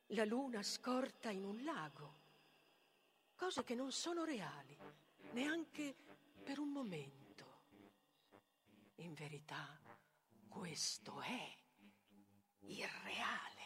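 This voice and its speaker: A woman's voice: on the high side, a bit bright, full character voice. A woman playing a fearful, grieving child in a poetic stage tragedy, reciting in incantatory, broken phrases. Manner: incantatory, declamatory, chanting in short broken phrases. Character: doomed child of Medea, grieving, fearful, oracular.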